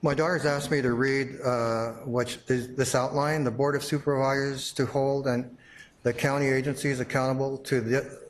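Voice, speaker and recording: A middle-aged man giving public comment at a county board meeting, speaking haltingly with many fillers. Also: mid-range voice, little pitch movement, poor audio.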